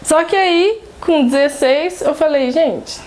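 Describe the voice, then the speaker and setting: A woman's voice: high-pitched, takes it slowly, darkish tone, slight background noise. A woman in a documentary interview, speaking frankly and emphatically in a conversational way. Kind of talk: personal testimony interview.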